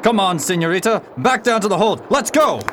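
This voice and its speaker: Casting: rough, blunt pirate crewman, high-pitched, seafaring dialect, radio adventure drama. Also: expressive, fairly quick, crowd noise, noisy background. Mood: gruff, uneasy.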